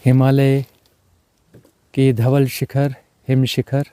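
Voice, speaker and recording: A man leading a guided meditation as a teacher, speaking in unhurried, short, repeated phrases and mixing in some English words. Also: medium pitch, monotone, warm tone, clear, resonant tone, dry recording. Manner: mixes English words into Hindi.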